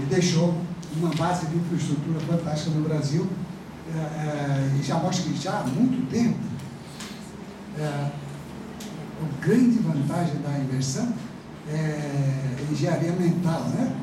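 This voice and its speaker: Echoey lecturing Brazilian professor. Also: male voice, a little high, measured pace. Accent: Brazilian Portuguese. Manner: chatty, rambling with many fillers and tag questions. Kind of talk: university lecture. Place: large classroom. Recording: echoey classroom from far off, noisy.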